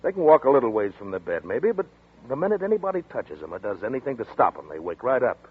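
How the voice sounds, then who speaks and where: An actor playing a blunt, skeptical police detective in 1940s radio drama style, heard over a tinny old broadcast. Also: male voice, medium pitch, big pitch swings. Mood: firm. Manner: brisk, gruff, no-nonsense.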